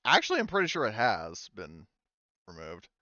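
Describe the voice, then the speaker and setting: Male game master, low voice, casual rambling improvised narration; dry, slightly muffled recording. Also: huge pitch swings, normal speed, sharp tone, no room sound.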